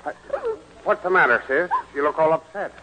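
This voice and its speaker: A man's voice: a little high, normal speed, close to an everyday voice. An actor playing a pompous, bossy businessman brother-in-law, indignant and self-important, in a 1940s radio comedy. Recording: muffled, noisy old 1940s radio broadcast.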